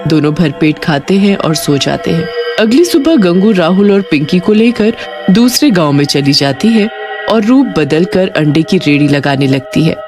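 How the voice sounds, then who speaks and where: A woman's voice: fairly low pitch, animated, moderate pace. A woman narrating a scripted cartoon horror story as its storyteller. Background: prominent background music.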